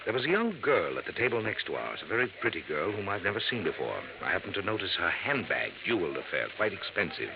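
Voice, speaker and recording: An actor playing a puzzled, genteel businessman in a 1950s radio drama, polite and measured. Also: sits low, very animated, creaky, heavily acted. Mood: puzzled, confiding. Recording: muffled, noisy old radio recording.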